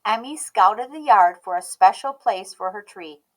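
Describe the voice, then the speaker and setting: A woman reading a children's picture book aloud as an expressive narrator. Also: mid-range voice, unhurried. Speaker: storybook read-aloud host.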